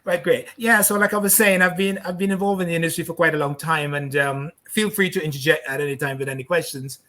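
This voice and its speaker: A man's voice: fairly high pitch, fairly even intonation, fast, fairly bright tone. A middle-aged man from Barbados hosting a live online talk show, upbeat and effusive, talking over a video call setup. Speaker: host.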